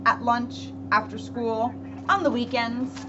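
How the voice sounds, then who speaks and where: A woman police officer speaking to children in an expressive, storybook read-aloud manner, with emphatic pauses. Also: on the high side. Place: indoors at a public library. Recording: dull lo-fi audio with clear background noise.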